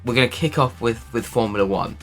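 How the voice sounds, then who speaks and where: A man's voice: medium pitch, fast talker, fairly bright tone, soft background music. Upbeat man hosting, quizzing guests. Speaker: podcast host. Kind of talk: casual podcast hosting. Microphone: video call.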